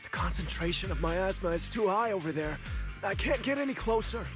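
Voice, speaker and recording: A woman's voice: medium pitch, lively intonation, a little fast, over music, close to an everyday voice. A woman voicing a small, anxious game companion creature, speaking earnestly and urgently. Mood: worried urgent. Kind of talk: video game voice acting.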